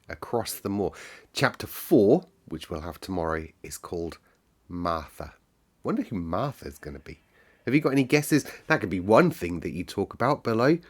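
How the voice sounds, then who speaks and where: A man hosting a story read-aloud, speaking in a conversational, musing way with listeners. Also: on the low side. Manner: musing, conversational, thinking out loud. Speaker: storytelling host. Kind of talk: story read-aloud and casual chat with viewers.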